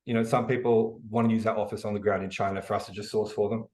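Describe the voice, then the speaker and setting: Fast-talking Australian man. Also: on the low side. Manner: energetic storytelling, quick with many fillers. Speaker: guest, e-commerce educator. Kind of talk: podcast interview.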